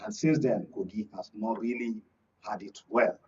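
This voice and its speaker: Male Nigerian political pundit, husky voice, expository delivery, muffled off-mic studio sound. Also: lowish voice, fairly even intonation, normal speed. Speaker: guest political analyst. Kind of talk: political panel discussion. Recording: dull, distant studio sound.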